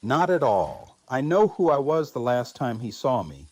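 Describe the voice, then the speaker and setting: Actor as measured, earnest man of firm conviction. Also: mid-range voice, sing-song, darkish tone, slightly rough. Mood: wry, accepting. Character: calm, firm man of steady pacifist convictions. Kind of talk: audio drama dialogue.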